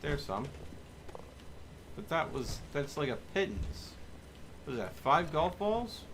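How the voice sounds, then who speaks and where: A man's voice: a little high, very animated, takes it slowly. A man giving casual live commentary as he streams a video game. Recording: clear background noise.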